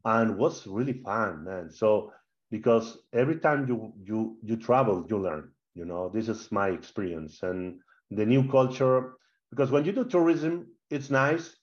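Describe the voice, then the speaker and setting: A middle-aged Spanish-accented man with a lowish voice tells stories as an interview guest, animated and full of fillers and restarts. His audio sounds dull and a little lo-fi. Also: unhurried. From Spain. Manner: animated, with frequent fillers and repeated words. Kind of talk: casual interview storytelling.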